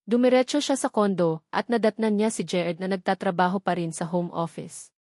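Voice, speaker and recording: A woman's voice: mid-range voice, moderate pace, a little mellow, dry recording. Woman narrating a romance story, dry studio sound.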